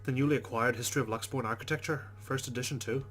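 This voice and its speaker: A man's voice: mid-range voice, fairly even intonation, fast talker, fairly bright tone, a little hiss or hum. A man hosting a let's play, reading visual novel text aloud and adding informal, chatty commentary.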